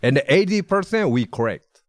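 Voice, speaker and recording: A man from Japan with a Japanese accent, a podcast guest speaking halting, broken English with many fillers. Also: on the high side, very animated, no echo. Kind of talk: casual chat. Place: podcast studio.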